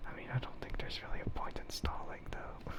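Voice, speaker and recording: A man rambling casually and hesitantly in a soft, breathy ASMR whisper, as a streamer chatting close to the mic. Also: brisk.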